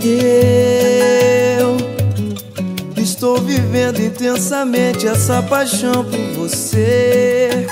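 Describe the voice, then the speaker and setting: Woman singing slowly over loud salsa. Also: on the high side, level tone, loud music behind the voice. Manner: slow, drawn-out singing. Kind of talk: singing a love song.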